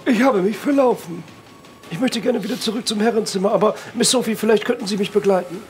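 Man as a high-pitched gloomy butler. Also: fast, slight background noise, natural voice. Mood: gloomy, formal. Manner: stiff, deferential. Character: gloomy, dutiful male butler.

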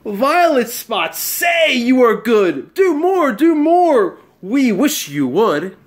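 A middle-aged man giving an expressive children's storybook read-aloud at home. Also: very high voice, takes it slowly. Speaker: storybook reader. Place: small bright room at home.

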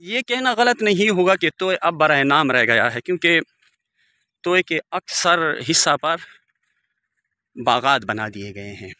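A man's voice: on the high side, big pitch swings, a little slow, pauses often, pushed and bright. A man in his forties walking outdoors and narrating a village vlog into his phone, speaking formally and devoutly with Arabic blessings. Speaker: village vlogger. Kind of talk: walking tour commentary. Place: outdoors in open riverbed countryside. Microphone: phone camera held by the speaker.

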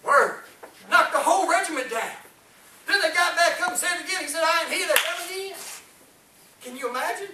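Fervent high-voiced preacher in echoey hall. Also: male voice, animated, fairly quick. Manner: fervent, rising and falling, calls for amens. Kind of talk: Pentecostal-style sermon. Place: small church hall with congregation. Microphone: far camera, no mic seen. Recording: echoey room from far off with faint hiss.

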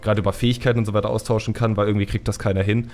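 A man's voice: on the low side, level tone, racing, a little mellow. A man hosting a casual gaming podcast, opinionated and colloquial, repeating words as he goes. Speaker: podcast host.

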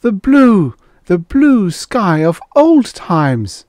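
A man's voice: medium pitch, lively intonation, unhurried, a little mellow, no echo, studio quality, voice pushed up, close to an everyday voice. A man voicing a bossy, visionary primitive man in a storybook, commanding and declamatory. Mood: exultant.

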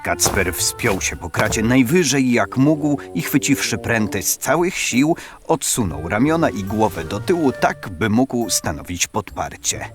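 Lively low-voiced male storyteller over music. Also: measured pace. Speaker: narrator. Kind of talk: scripted dramatic storytelling narration. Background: background music.

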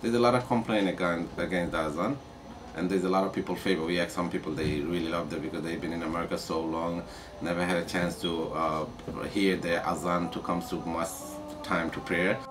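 A man with a very deep voice speaks plain, halting English. He sounds well away from the mic in a room, and clear noise runs under him. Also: fairly even intonation. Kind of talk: interview. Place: indoors by a window. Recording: distant, noisy room recording.

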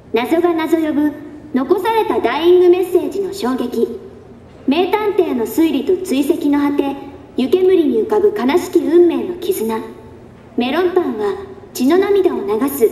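A woman's voice: high-pitched, mellow. A woman playing a polite young announcer at a school play, reading a formal, courteous scripted announcement. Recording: echoey hall announcement, faint noise.

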